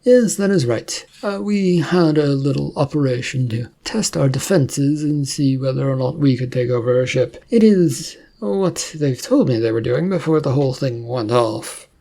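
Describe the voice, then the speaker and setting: A scheming male alien president with a formal, commanding way of speaking, as the man narrating puts him on. The voice is gravelly and dark, with a husky edge. He sounds like a figure in a read-aloud storybook. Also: medium pitch, animated, normal speed, few pauses. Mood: smooth.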